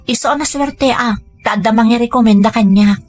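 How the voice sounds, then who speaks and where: A woman's voice: a little high, moderate pace, close to an everyday voice. Woman playing an earnest Ilocano daughter over faint music. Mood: earnest, reassuring. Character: earnest married daughter and mother, humble, determined. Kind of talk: audio drama dialogue.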